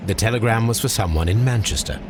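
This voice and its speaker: A man playing a shrewd, commanding English gentleman detective in a Victorian video game, speaking with precise, formal phrasing. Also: lowish voice, fast, background noise, lightly acted. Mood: stern, probing. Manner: precise, formal, clipped questioning. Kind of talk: video game cutscene acting.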